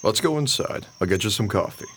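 Grumbling, skeptical schoolteacher husband. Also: male voice, lowish voice, expressive, brisk. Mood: concerned. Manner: grumbling, exasperated. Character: weary, irritable, skeptical young schoolteacher husband. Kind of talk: radio drama acting. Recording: faint background noise.

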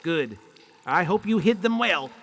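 An actor playing a suspicious, curt outlaw gang boss in a western radio drama. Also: male voice, high voice, lively intonation, a little slow, vocal fry, a bit bright, exaggerated voice. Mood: impatient, suspicious. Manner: curt, commanding.

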